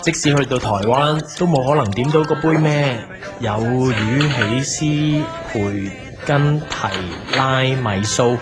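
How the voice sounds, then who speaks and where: A man's voice: medium pitch, slow pace, close to an everyday voice. A man with a Hong Kong Cantonese accent playing a wistful, struggling café owner who narrates a reflective, melancholy audio drama. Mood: weary, regretful. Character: wistful struggling café owner recalling his lost wife. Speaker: lead actor and narrator. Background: music behind the voice.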